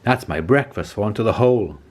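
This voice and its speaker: A man's voice: fairly low pitch, lively intonation, fairly quick, a little mellow. A man voicing a hungry, scheming but easily cowed troll in a children's storybook. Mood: grumbling, satisfied.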